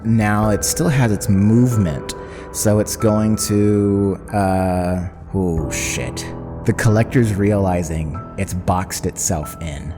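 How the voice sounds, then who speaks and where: A man's voice: lowish voice, takes it slowly, over music. A man narrating a tabletop roleplaying game as game master, describing the scene dramatically.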